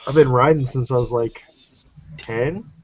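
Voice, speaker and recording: A man's voice: mid-range voice, unhurried, no room sound. Swearing, joking man in his forties. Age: 40s. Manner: joking, swears freely, laughs often. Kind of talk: casual chat. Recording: muffled lo-fi live stream audio.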